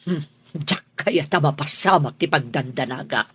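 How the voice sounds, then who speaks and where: A woman's voice: low-pitched, moderate pace, fairly bright tone, slightly rough, no echo, full character voice. An actress playing a possessive middle-aged mother in a radio drama, threatening and emphatic. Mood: indignant, threatening.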